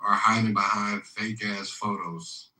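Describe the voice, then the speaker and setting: A man's voice: fairly low pitch, flat delivery, a little slow, crowd noise. Man, laid-back, teasing, heavy slang, echoey room, distant mic. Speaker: voice chat participant. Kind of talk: heated online voice chat argument. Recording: echoey, far from mic, loud noise.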